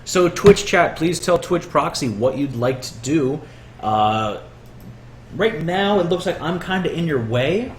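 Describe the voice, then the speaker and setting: A man's voice: fairly high pitch, animated, measured pace. A man in his twenties hosting a tabletop game stream, patiently walking through the rules step by step. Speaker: host explaining the game rules. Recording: echoey room with faint noise.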